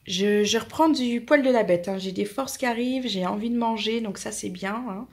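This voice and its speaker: A woman's voice: fairly high pitch, normal speed. A woman in her late twenties with a French accent, chatting casually to camera in a family vlog, rambling about her day. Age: 20s. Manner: chatty, rambling, talks softly to her cats. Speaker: family vlogger.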